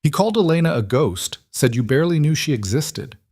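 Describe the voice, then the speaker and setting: A man's voice: a little high, big pitch swings, brisk, darkish tone, breathy edge, no room sound, studio quality, pitched above the performer's own voice, heavily acted. Man voicing a grave, shrewd crime-family matriarch. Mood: disgusted. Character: shrewd, stern older mother of a crime family.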